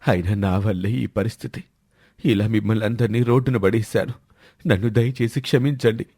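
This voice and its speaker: A man's voice: fairly low pitch, normal speed, dark tone, husky, no room sound, studio quality. A man playing an older village father in a story, apologetic and pleading, with a weakness for gambling. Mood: remorseful. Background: background music.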